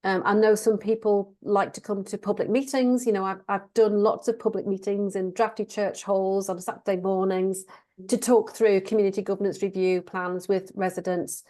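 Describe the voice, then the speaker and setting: A woman with a croaky voice, a council officer politely explaining procedures at a committee meeting. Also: mid-range voice, steady tone, normal speed, dark tone.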